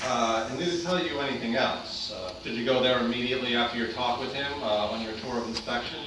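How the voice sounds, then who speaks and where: A man's voice: medium pitch, quick pace, close to an everyday voice. A man playing a businesslike tribunal interrogator who puts probing questions in a staged reading. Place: hall, recorded from a distance. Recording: very echoey hall, far mic, loud noise.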